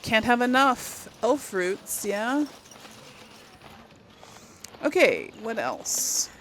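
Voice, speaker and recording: A woman's voice: fairly high pitch, wildly swooping pitch, extremely slow, a bit bright, slight background noise. A woman hosting a casual let's play, chattily thinking aloud to her viewers. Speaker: gamer, series host.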